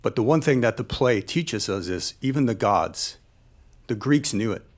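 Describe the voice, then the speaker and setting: A man, a military veteran, giving earnest public remarks in measured, deliberate phrases with emphatic repetition. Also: medium pitch, animated. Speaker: military veteran and former troop leader. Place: live event space at a panel discussion.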